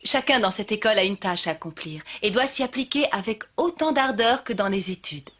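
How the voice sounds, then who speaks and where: A woman playing a kind but firm schoolmistress in a French-dubbed cartoon, speaking in a measured, instructive way. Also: medium pitch, expressive, fairly bright tone. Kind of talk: French animated series dubbing. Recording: faint music behind the voice.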